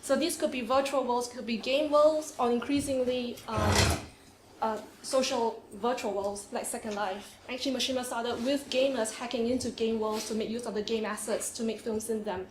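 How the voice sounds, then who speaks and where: A woman with a Singaporean accent giving an academic conference talk in a seminar room, lively, with frequent "um"s and laughs. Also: fairly high pitch, moderate pace. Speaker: conference speaker. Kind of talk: academic presentation. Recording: echoey room, faint noise.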